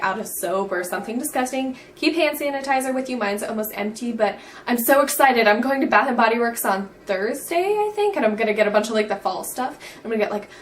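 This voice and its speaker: A woman's voice: on the high side, recorded from a distance, slight background noise. A teenage girl in a casual beauty vlog, chatty and bubbly, saying "like" all the time. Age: teens. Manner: bubbly, says "like" constantly. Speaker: beauty vlogger.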